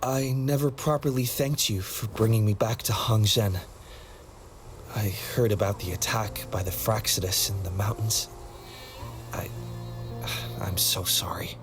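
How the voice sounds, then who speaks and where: A man's voice: lowish voice, fairly even intonation, unhurried, pauses often, music in the background. A man voicing an earnest, apologetic, devoted young man in a video game, sincere and a little hesitant.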